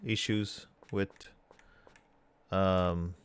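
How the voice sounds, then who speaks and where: A man talking casually on a live stream, halting and correcting himself as he thinks aloud. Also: low-pitched, steady tone, clean tone.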